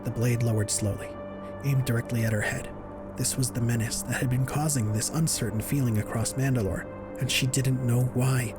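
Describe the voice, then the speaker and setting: Male narrator, medium pitch, dramatic audiobook delivery, scripted, clear music bed behind. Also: fairly even intonation, moderate pace. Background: dramatic score.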